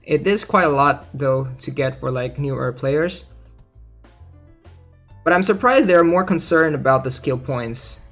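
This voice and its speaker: Higher-voiced man, casual game streamer. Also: measured pace, a bit bright, faint music behind. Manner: thinking aloud, hesitant, frequent "like". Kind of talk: casual livestream commentary, reading aloud and reacting.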